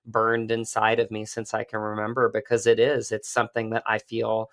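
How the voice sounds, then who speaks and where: A man's voice: on the low side, monotone, moderate pace, a bit bright. A man talking earnestly and effusively in a conversational interview, as an actor who is the guest.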